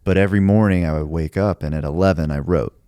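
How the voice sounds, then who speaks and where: A man who writes songs, talking in a relaxed podcast conversation, thinking aloud and reflecting at length with many filler words. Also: low-pitched, fast talker, clear, resonant tone, dry recording. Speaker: songwriter.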